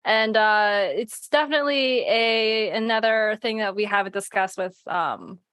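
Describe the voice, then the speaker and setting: A woman speaking in a casual panel discussion, halting as she searches for words. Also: mid-range voice, a bit bright, smooth, no room sound, studio quality.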